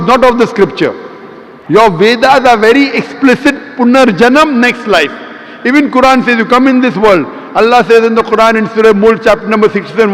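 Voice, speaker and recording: A man's voice: extremely high pitch, a bit bright. A man answering an audience question in a public lecture, explaining step by step, citing verses and repeating points in lists. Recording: long hall reverb.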